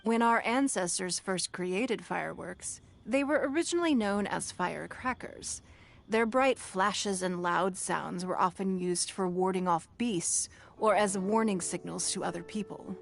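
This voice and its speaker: An actress playing a gracious, dignified noblewoman leader in a video game, speaking in a formal, measured manner. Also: mid-range voice, gravelly. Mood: explanatory. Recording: game music behind the voice.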